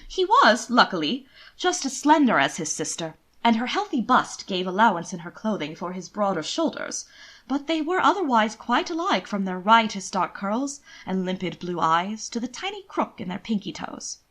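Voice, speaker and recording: Expressive woman narrator, very varied intonation. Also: mid-range voice, normal speed. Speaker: podfic narrator. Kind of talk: expressive scripted story narration.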